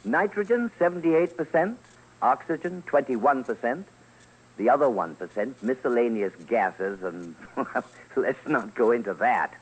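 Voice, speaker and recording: A man's voice: mid-range voice, expressive, a little slow, husky, low-quality recording. A man narrating a scripted radio documentary as commentator, formal, theatrical and emphatic. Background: music.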